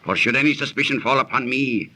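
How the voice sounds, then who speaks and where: An actor playing a crafty, lustful seafaring merchant villain in a commanding, archaic manner of 1930s radio drama. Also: male voice, medium pitch, fast, bright, forceful tone, low-quality recording. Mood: menacing, commanding. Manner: commanding, scheming, archaic formal speech. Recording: old 1930s radio recording.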